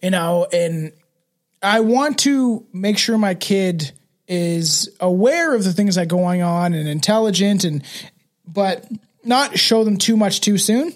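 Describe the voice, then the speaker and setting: A man's voice: sits high, lively intonation, slow pace. A man in his thirties chatting casually as a podcast host, rambling and slangy with frequent swearing. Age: 30s.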